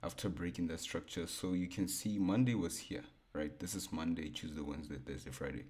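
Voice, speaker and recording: Young male trading tutor, very low baritone, relaxed, thinks aloud at a desk mic. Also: fairly quick. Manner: laid-back, repeats himself, thinks aloud. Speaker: forex trading educator. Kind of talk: casual screen-share chart breakdown.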